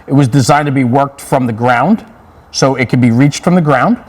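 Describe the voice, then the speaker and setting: A man with a mid-pitched voice hosts an interview in a conversational style. He affirms and sums up points emphatically, and his voice carries a long reverb. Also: moderate pace. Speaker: interviewer, host.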